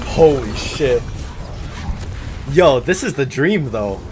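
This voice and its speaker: A man's voice: mid-range voice, sing-song, drawn-out words, darkish tone, faint music behind. Slangy, foul-mouthed man streaming games. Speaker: game streamer reacting to a story quest. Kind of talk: casual live reaction commentary.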